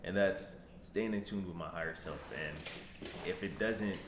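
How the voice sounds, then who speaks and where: A man in his mid-twenties, talking casually to camera as a vlogger, rambling and repeating himself in a relaxed way. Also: deep voice, normal speed. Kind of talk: casual vlog talk to camera. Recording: echoey room with background noise.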